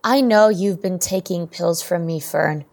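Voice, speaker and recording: A woman's voice: lowish voice, a little slow, gravelly, a little mellow. A woman acting in an audio drama as a stern, hurt middle-aged mother, firm and pained. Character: stern, hurt mother confronting her daughter.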